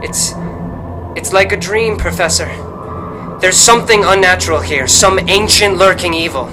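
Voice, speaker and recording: Ein Mann spielt einen nervösen, vorsichtigen jungen Piloten in einem Hörspiel, mit angespannter Sprechweise. Im Hintergrund läuft deutlich Musik. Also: male voice, sits high, normal speed, natural voice. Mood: uneasy. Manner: tense, uneasy delivery. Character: young male pilot, anxious and cautious. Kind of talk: radio drama acting. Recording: music bed behind the voice.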